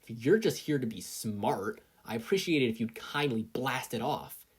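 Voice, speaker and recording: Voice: medium pitch, expressive, moderate pace, husky. A reader voicing a brash, stubborn teenage boy rival in a story, grumbling with exasperated sarcasm. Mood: grumpy.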